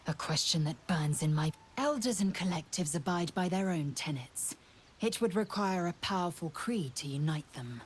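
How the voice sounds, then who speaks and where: A woman's voice: on the low side, animated, normal speed, husky. An actress playing a stern, zealous alien warrior woman in a video game, speaking in a clipped, formal, grave manner. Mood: grave, intent. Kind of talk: video game character dialogue.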